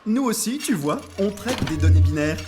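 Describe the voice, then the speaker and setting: A man voicing a friendly, reassuring machine buddy who explains things in a chummy, animated way in an educational cartoon. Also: high-pitched, moderate pace, music in the background. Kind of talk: scripted educational cartoon dialogue.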